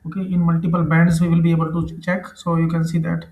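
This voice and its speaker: A man with an Indian accent narrating a screen tutorial in a halting, repetitive, explanatory way. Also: fairly high pitch, completely flat delivery, phone-quality sound. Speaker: presenter. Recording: echoey room.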